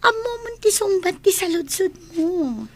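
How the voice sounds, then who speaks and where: A woman's voice: very high voice, big pitch swings, a little slow, full character voice. A woman with an Ilocano accent playing a sassy, money-minded young student in a radio drama, teasing and theatrical. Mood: scornful.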